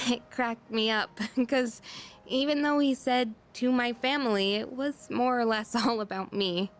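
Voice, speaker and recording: A woman's voice: fairly high pitch, unhurried, faint music behind, natural voice. An American woman voicing an emotional, bitter, grieving teenage schoolgirl in a video game. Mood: wistful, confiding. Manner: emotional, vulnerable, confiding. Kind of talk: English video game voice acting.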